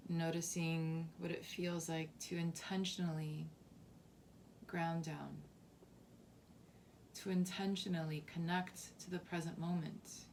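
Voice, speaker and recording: A woman leading a guided body scan meditation as a teacher, softly and calmly, with unhurried phrasing and long pauses. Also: deep voice, a little hiss or hum. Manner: soft, unhurried, calming instruction with long pauses.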